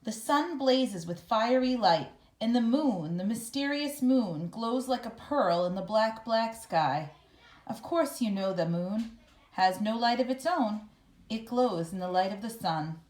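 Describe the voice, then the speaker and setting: A woman reads a children's picture book aloud. Her voice sits a little high. She tells it in a measured, expressive way, as a storybook reader does.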